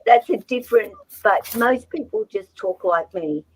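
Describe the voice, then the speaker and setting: A woman's voice: medium pitch, unhurried, slightly rough. A chatty woman with an Australian accent, talking casually on a livestream video call and laughing easily. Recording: dull, lo-fi and dry.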